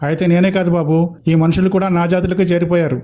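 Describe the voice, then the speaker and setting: A grumbling sidekick creature from a comic Telugu cartoon acted by a man. His voice is high and hurried, sarcastic, with little rise and fall. Faint noise runs underneath. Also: steady tone, natural voice.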